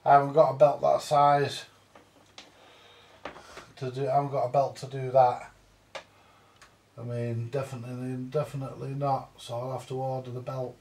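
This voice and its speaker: British male repairer, casual and sweary, relaxed dark tone with some fry, recorded roomy and distant. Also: mid-range voice, normal speed, long pauses, slight background noise. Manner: muttering to himself, swearing mildly. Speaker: vintage audio repairer. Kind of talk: casual hands-on repair commentary. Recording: roomy echo, distant mic.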